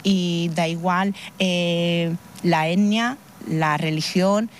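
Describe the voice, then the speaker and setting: A woman's voice: fairly low pitch, fairly even intonation, very slow, a little hiss or hum. A woman, interviewed on the street, speaking in an impassioned, insistent way.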